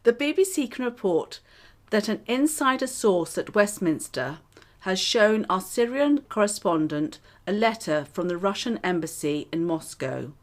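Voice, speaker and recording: A woman playing a composed news anchor, reading in a formal, measured way in a radio drama. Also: medium pitch, close to an everyday voice. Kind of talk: newsreading within radio drama.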